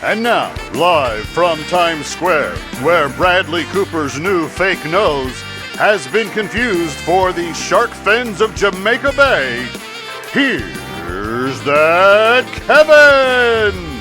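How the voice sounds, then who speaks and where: Male announcer, high lively pitch, theatrical drawn-out show intro over loud music.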